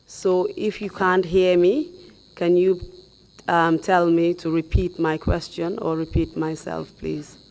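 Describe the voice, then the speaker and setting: Hoarse woman questioning in flat tones. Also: on the low side. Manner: measured, polite, flat delivery. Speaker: commission counsel. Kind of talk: formal questioning of a witness. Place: studio. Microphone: headset.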